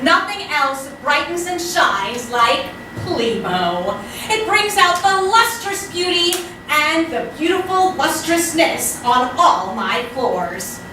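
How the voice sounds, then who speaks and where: Distant, echoey woman with lively high voice. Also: slow, few pauses. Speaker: performer giving a mock testimonial. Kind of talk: scripted parody ad testimonial. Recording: echoey live room, distant, noisy.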